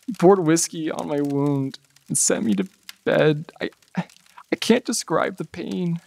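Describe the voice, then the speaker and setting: Man acting as a gravelly, wounded teenage football player. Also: on the high side, measured pace, darkish tone. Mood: pained recounting. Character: abused teenage male football player, tough but wounded. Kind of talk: dramatized audiobook acting.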